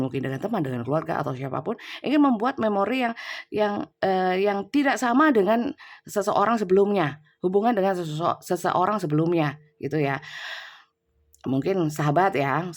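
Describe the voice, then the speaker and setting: A woman's voice: low-pitched, gravelly, a little mellow. A woman with a cold giving a casual tarot reading into her phone's built-in microphone. She talks loosely, with lots of fillers. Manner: chatty, many fillers and tag "ya". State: has a cold. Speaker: tarot reader. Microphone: phone's built-in mic, no external mic.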